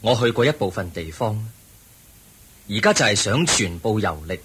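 聲線低沉、語調起伏嘅佛陀. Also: male voice, on the low side, expressive, a bit bright, breathy edge, exaggerated voice. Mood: serene, instructive. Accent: Hong Kong Cantonese. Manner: measured, instructive. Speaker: radio drama actor. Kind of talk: Cantonese radio drama acting. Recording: faint background noise.

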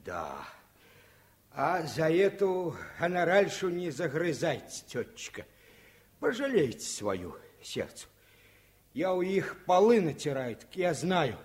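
Slow-talking, folksy floor polisher. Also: male voice, a little high, big pitch swings. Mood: gently reproving. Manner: slow, folksy broken dialect. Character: humble working floor polisher, sympathetic, plain-spoken. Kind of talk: radio play acting.

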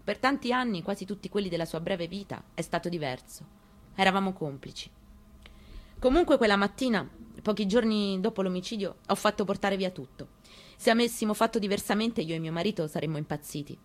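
A woman's voice: mid-range voice, a little fast, lightly acted. An actress playing an elderly mother grieving her murdered son in an intimate, reflective radio theatre monologue, in short phrases. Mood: sorrowful, resolute.

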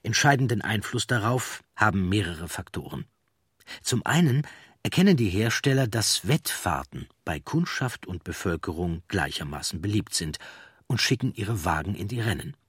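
A man reading scripted documentary narration with measured, storytelling delivery and a husky voice. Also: on the low side, very animated, a bit bright, no echo, clean studio sound.

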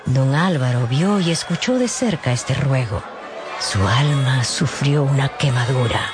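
A radio novel narrator with animated, widely varied intonation, telling the story dramatically. Music plays clearly behind the voice. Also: medium pitch, unhurried.

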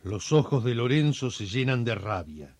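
A suspenseful radio drama narrator. His delivery is scripted and highly animated, recorded dry and close. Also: moderate pace, no echo. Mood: dramatic, suspenseful. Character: suspense-building third-person radio serial narrator.

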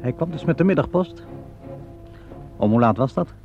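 An actor playing a calm, courteous and dryly wry police inspector, questioning politely in an old radio play. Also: male voice, medium pitch, over loud music, natural voice. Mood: probing. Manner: measured, polite questioning with hesitant interjections. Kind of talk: vintage Dutch radio play acting. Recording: dull lo-fi vintage radio recording.

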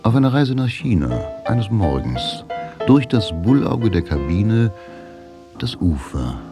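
A man's voice: lowish voice, very animated, measured pace. A man delivering scripted narration for a radio play. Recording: clear music behind the voice.

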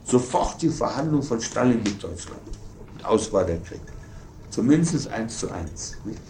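An actor playing an embittered, defiant former Nazi veteran in a radio play, scornful and insistent, tagging phrases with questioning interjections. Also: male voice, fairly low pitch, sing-song, normal speed, darkish tone. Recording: roomy echo, noisy ambience.

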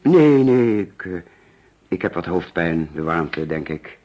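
An actor playing a distracted, anxious male insurance agent in a Dutch radio play, halting and evasive as he hides his trouble. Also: sits low, expressive, heavily acted. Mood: flustered, evasive. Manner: halting, evasive, absent-minded. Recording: dull, lo-fi old radio recording.

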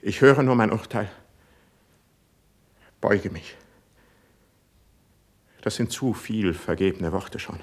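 An actor playing a proud, wounded poet with a husky voice, speaking solemn, halting verse to his prince in a classical radio drama. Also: lowish voice. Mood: bitter resignation. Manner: halting, solemn, emotionally weighted declamation.

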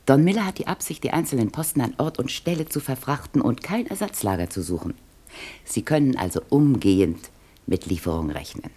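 An actress playing a formal, courteous and businesslike office clerk in a German radio play. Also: female voice, extremely low pitch, lively intonation, a little fast, mellow, husky, heavily acted. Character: polite, businesslike office clerk at a trading firm.

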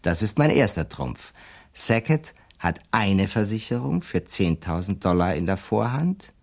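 A deep-voiced, shrewd lawyer. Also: male voice, big pitch swings, gravelly, full character voice. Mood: confident, sly. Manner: deliberate, explanatory, sly and self-assured. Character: shrewd, self-assured male defence lawyer laying out schemes. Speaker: actor in a radio play. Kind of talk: German radio drama dialogue.

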